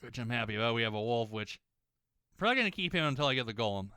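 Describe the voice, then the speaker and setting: A young man ranting while playing a game, rambling, swearing a lot and often restarting his sentences, his voice slightly hoarse as it warms up. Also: mid-range voice, expressive, rapid-fire, no room sound, clean studio sound. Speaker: gaming YouTuber.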